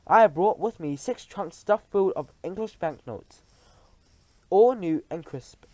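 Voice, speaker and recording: A man's voice: high voice, expressive, unhurried, dark tone, dry recording, pitched above the performer's own voice. A man reading aloud and voicing a tiny, tyrannical female witch leader who shrieks orders in stilted, odd grammar. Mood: commanding.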